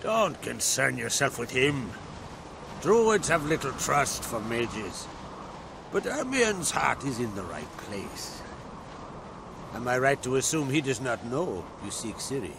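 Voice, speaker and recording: A gravelly older island king. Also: mid-range voice, big pitch swings, takes it slowly, background noise, exaggerated voice. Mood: calm, paternal. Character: older jarl, father of two grown children. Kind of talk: video game cutscene acting. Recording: game audio with music behind.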